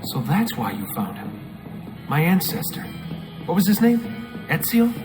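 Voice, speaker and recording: An actor playing a reluctant young recruit in a video game, speaking in a casual, questioning, sardonic way. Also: male voice, high-pitched, huge pitch swings, measured pace, over music, exaggerated voice. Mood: doubtful.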